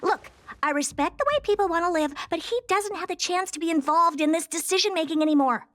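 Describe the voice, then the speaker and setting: Very high-voiced brash young genius girl. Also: fast talker, fairly bright tone. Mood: blunt, impatient. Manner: blunt, dismissive, self-assured. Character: brash young female genius scientist, haughty and impatient. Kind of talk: video game voice acting.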